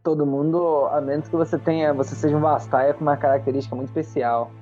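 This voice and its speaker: A man's voice: on the high side, steady tone, fast talker, warm tone. A Brazilian man narrating a tabletop roleplay audio drama as game master. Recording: muffled lo-fi audio under music. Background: background music.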